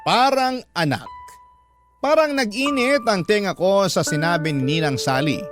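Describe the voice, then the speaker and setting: A man's voice: high-pitched, expressive, a little slow. Man narrating over background music. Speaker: letter reader. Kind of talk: scripted narration of a letter.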